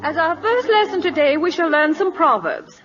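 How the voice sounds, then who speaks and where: A spirited, proper lady teacher in an old radio play. She speaks in formal, precise phrases with lively swings of pitch, on a dull recording. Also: moderate pace, a faint crowd. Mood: instructive. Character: prim, determined, spirited woman schoolteacher in Siam. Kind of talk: 1940s radio drama acting.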